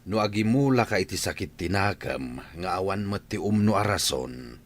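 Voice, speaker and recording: Lively, low Ilocano husband. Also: male voice, moderate pace. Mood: reproachful. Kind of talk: radio drama acting in Ilocano.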